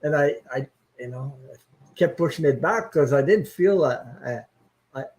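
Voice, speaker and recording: A man hosting a live-stream chat, talking in an earnest, halting way on a video call. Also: a little high, animated, darkish tone. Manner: earnest, halting, stops and restarts as he opens up. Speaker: host.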